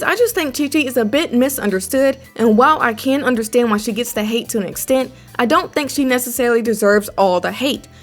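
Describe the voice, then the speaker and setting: A young woman hosting an opinion video as a voice-over, talking in a measured, conversational, explanatory way. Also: sits high. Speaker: anime commentary video host.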